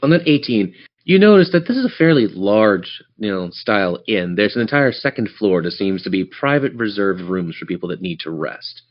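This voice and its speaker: A man's voice: lowish voice, sing-song, moderate pace. A man running a tabletop role-playing game, narrating scenes in a descriptive, theatrical storyteller's manner. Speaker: game master. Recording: dull lo-fi audio.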